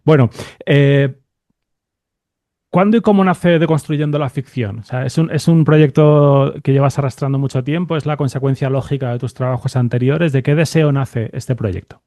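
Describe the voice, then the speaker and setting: A man from hosts a conversational interview. His voice sits at a middling pitch in a dry, close acoustic. He feels his way thoughtfully through each idea. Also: normal speed, mellow, dry recording. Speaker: podcast host, interviewer.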